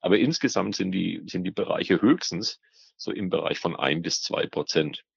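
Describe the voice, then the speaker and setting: A man's voice: deep voice, animated, moderate pace, vocal fry, breathy edge, no echo, lo-fi sound. A man speaking as an expert guest in an interview, explaining hesitantly and often repeating words as he searches for the next. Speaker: expert guest, biologist on ornamental fish trade. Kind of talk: spoken interview answers, explanatory.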